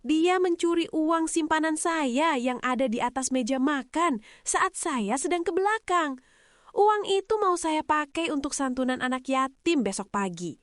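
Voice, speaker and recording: A woman's voice: sits high, lively intonation, unhurried, no room sound, studio quality. A woman playing a stern village official's wife in a cartoon drama, accusing and scolding. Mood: indignant, scolding.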